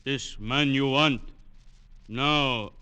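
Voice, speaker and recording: A man's voice: mid-range voice, clean tone. An actor playing a blunt, menacing tribesman and hired killer, speaking terse broken English in old radio drama style. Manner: terse broken English, slow forceful barking delivery. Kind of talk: 1940s-50s radio drama acting.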